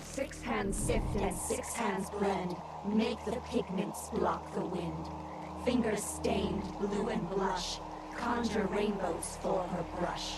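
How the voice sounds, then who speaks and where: A woman's voice: heavy background noise, close to an everyday voice. A woman voicing an eerie witch in a video game, reciting a ritual rhyme with a rhythmic, incantatory delivery. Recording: loud rumbling ambience throughout.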